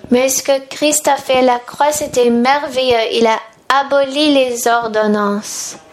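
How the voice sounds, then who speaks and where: A woman delivers a sermon as an interpreter, one phrase at a time. Her voice sits fairly high and she speaks very slowly. There is some noise in the background.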